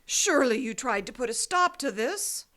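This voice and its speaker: A woman's voice: high-pitched, lively intonation, moderate pace, a bit bright. A woman playing a prim, fretful, indignant library boss in a comic radio drama. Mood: stern disapproval. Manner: stern, proper, fretful. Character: prim, indignant female library boss, fussy about books.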